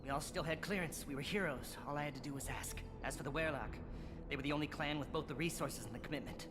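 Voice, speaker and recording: A man acts a disillusioned young-protégé type, an alien scientist in a video game full of bitter zeal. He argues in articulate, accusatory sentences with real passion. Background music runs clearly underneath. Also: fairly high pitch, quick pace, lightly acted. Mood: resolute. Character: bitter, zealous alien scientist, disillusioned former student, male.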